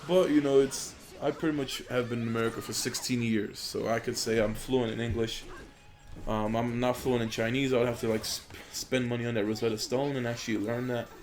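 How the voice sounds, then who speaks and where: A man's voice: mid-range voice, brisk. A young man, a gaming YouTuber who grew up in Poland and speaks Polish natively, giving casual, rambling commentary over gameplay into a desk microphone, with plenty of fillers. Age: young adult. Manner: rambling, filler-heavy, relaxed. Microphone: USB desk microphone.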